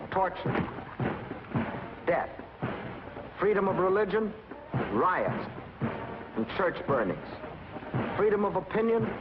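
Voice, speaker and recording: A man's voice: high-pitched, dull audio, background noise. An American man delivering scripted 1940s propaganda film narration in a dramatic, emphatic manner with clipped phrases, on an old film soundtrack. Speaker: documentary narrator.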